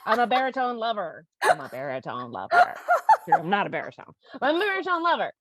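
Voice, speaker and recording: A woman's voice: a little high, huge pitch swings, fast talker, background noise. A woman co-hosting a music reaction video, chatting casually and gushing with delighted groans. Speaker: co-host of a reaction channel.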